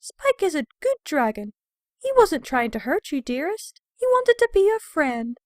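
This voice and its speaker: A woman's voice: high-pitched, sing-song, normal speed, no room sound, studio quality. A woman voicing an elegant, doting young female pony with refined, theatrical manners in an audio drama. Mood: gentle pleading. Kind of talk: scripted audio drama character acting.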